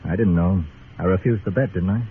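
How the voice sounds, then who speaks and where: An actor playing a jealous, hard, fearless crooked croupier in a radio western, curt and sardonic. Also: male voice, low-pitched, brisk, close to an everyday voice. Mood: sardonic, guarded. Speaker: supporting actor. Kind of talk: old-time radio western acting. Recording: tinny, noisy old radio recording.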